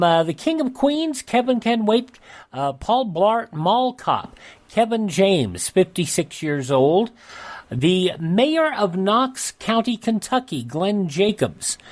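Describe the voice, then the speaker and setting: A man hosting a radio show, reading out an almanac with casual asides in a halting, conversational way. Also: high-pitched. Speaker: radio host.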